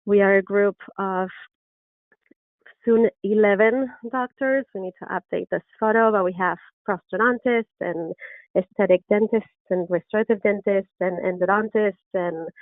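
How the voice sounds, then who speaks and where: A middle-aged woman with an Argentine Spanish accent presents an online lecture, earnest and enthusiastic, pausing on ums and restarting phrases. Her voice sits at an ordinary pitch. The sound is dry and narrow, like a phone line. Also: no room sound. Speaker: orthodontist lecturer. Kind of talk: webinar presentation. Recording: dry, tinny phone-like webinar audio.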